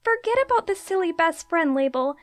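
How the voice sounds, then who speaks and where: A woman's voice: very high-pitched, animated, brisk, soft background music. A woman voicing an exasperated, battered female unicorn in a cartoon-style fan audio drama, weary but earnest. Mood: earnest, conciliatory.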